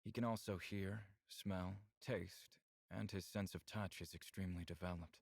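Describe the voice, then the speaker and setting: A man playing a bold, seductive alien suitor in a visual novel, speaking with calm, teasing assurance. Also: low-pitched, normal speed, creaky, no room sound, clean studio sound. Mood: calm, explaining. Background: game music.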